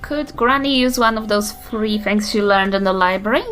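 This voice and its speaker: A woman's voice: medium pitch, moderate pace, a bit bright, faint music behind. A woman chatting casually and a little hesitantly as a player in a tabletop game, given to giggling. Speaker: player on a tabletop role-playing podcast.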